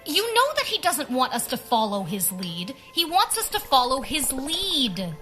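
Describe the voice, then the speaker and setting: An actress playing an earnest, idealistic young heroine in a radio drama, with soft music behind her. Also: high-pitched, big pitch swings, unhurried, full character voice. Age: young adult.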